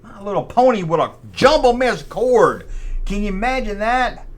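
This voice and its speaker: American man, middle-aged, lively swooping delivery, folksy sarcastic toy-channel host. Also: high-pitched, normal speed. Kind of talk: casual humorous commentary.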